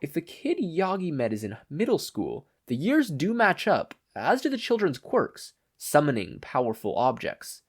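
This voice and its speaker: A man plays a fussy male official in a story, pitched well above a normal talking voice. He is prim and precise, with an exasperated edge. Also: wildly swooping pitch, normal speed, few pauses, slightly rough, heavily acted. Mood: brisk, businesslike.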